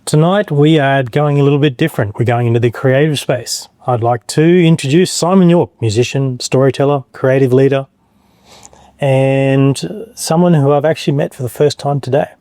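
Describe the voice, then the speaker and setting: Australian male host, easygoing interviewer, warm mellow voice, dry close mic. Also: medium pitch, normal speed, dry recording. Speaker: podcast host. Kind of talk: podcast interview.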